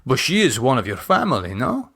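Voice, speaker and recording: Audiobook role: male reader as courtly, sly male cult member, knowing, animated pitch. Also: fairly quick, gravelly, husky, full character voice. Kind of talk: audiobook character acting.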